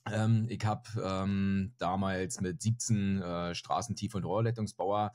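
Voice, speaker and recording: A man's voice: low voice, fairly even intonation, unhurried, a little mellow. A man in his forties from northern Germany, a podcast guest chatting casually and self-deprecatingly with lots of fillers, recorded over a video call with headphones on. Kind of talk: casual interview talk. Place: home room, indoors. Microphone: headset on video call.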